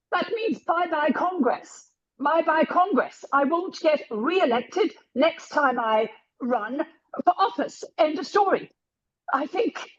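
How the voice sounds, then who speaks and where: Croaky-voiced woman, high, emphatic commentator on a muffled video call. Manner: emphatic, repeats points for effect. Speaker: meeting participant. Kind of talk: opinionated commentary in an online meeting. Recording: dull lo-fi video call audio.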